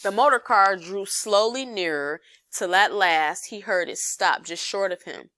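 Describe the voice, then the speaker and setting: A woman reading a children's story aloud as expressive scripted narration. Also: mid-range voice, a little slow, no room sound. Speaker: storybook reader.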